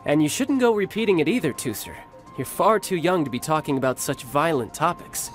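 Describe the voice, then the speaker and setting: Game casting: man as assured young warrior, big-brotherly, theatrical, animated intonation, music underneath. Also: fairly high pitch, fairly quick, natural voice. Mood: indulgent, reassuring. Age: young adult. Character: smooth, confident young warrior, protective older brother. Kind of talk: video game voice acting.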